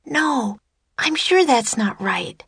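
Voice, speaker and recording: A woman voicing a plucky, excitable young girl in a storybook, loud, urgent and emphatic. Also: high-pitched, slow, gravelly, husky, no echo, studio quality, voice pushed up, exaggerated voice. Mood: thoughtful, excited.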